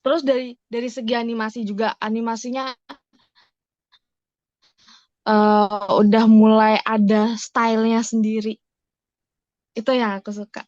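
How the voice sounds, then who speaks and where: High-pitched young Indonesian woman, slightly muffled. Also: flat delivery, a little mellow, no room sound. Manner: halting, searching for words. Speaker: podcast guest. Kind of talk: casual chat.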